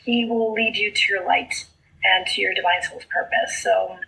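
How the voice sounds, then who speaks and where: A woman's voice: mid-range voice, fairly even intonation, vocal fry, pushed and bright, rough recording. A middle-aged woman from South Texas who grew up speaking Spanish, telling her story in an earnest, heartfelt way as a podcast guest. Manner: earnest, heartfelt storytelling with slow emphatic phrases. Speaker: guest, author and coach. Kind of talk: podcast interview.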